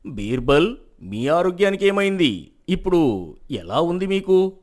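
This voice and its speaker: An actor playing a calm, courteous Mughal emperor with formal, measured speech in an animated moral story. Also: male voice, high voice. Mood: concerned. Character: stately male Mughal emperor, calm and curious.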